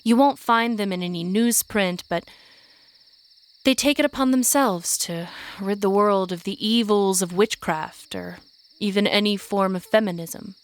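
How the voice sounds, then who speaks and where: An actress playing an older, hardened witch who leads and protects her coven, speaking in a grave, measured, commanding way in an audio drama. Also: medium pitch, lightly acted. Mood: grave, bitter.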